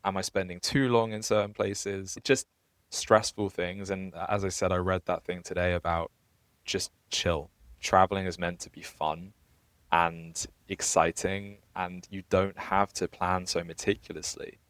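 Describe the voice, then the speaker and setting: Low-voiced man chatting casually. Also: little pitch movement, measured pace, fairly bright tone, clean tone, no echo. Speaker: podcast co-host. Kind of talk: casual chat.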